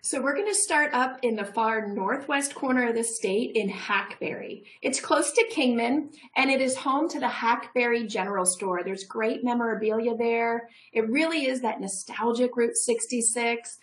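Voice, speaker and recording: A woman's voice: a little high, moderate pace, few pauses, low-quality recording. A middle-aged woman from a tourism office, a guest on a TV show, talking upbeat and promotional over a video call from a room at home.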